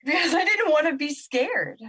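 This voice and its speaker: A woman's voice: high-pitched, expressive, moderate pace, fairly bright tone. A woman playing a skeptical, bitter young girl in an audio drama, emotional and sardonic. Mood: bitter, hurt.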